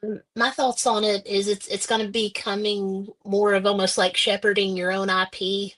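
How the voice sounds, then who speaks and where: Flat-voiced woman author, hesitant. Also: medium pitch, moderate pace, rough recording. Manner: hesitant, with many fillers and restarts. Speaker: author guest. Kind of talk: casual interview answers.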